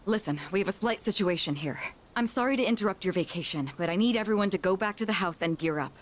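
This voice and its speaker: A woman playing a composed, commanding young team leader in a video game, with a husky voice. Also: medium pitch, quick pace, close to an everyday voice. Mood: brisk, commanding.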